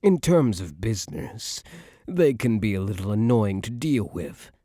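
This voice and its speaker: Man playing a slow, husky mafia boyfriend. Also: on the low side, very animated, warm tone, no echo, clean studio sound. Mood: musing, confiding. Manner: slow, smug, tough-guy slang with tender pet names. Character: cocky young mafia heir, doting but menacing boyfriend. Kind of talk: intimate ASMR boyfriend roleplay.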